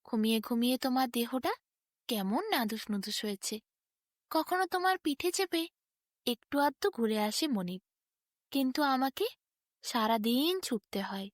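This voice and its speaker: A woman's voice: on the high side, animated, unhurried, pauses often, no echo, clean studio sound. A woman voicing an envious, overworked ox who complains plaintively about his lot in a children's storybook tale. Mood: plaintive envy.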